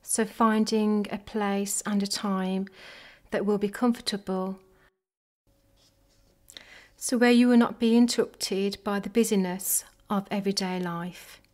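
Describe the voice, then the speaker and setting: Woman, meditation guide, very unhurried pace, soft voice, gentle measured phrasing, medium pitch. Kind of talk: guided body scan meditation.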